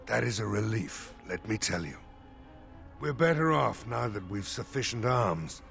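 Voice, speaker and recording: An actor playing a weary, gruff village militia leader in a video game, plain-spoken and grim. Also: male voice, lowish voice, lively intonation, unhurried, a bit bright, faint music behind, full character voice.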